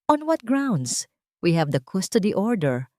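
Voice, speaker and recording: A woman narrator plays a commanding billionaire man in a dramatic audio story. He is cold and stiffly formal, with a dark, mellow tone. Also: mid-range voice, big pitch swings, a little slow, no room sound, clean studio sound, pitched above the performer's own voice.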